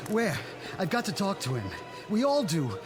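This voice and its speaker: A panicked suburban father in a radio drama. His high voice leaps about as he frantically stammers, with clear music underneath. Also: huge pitch swings, moderate pace.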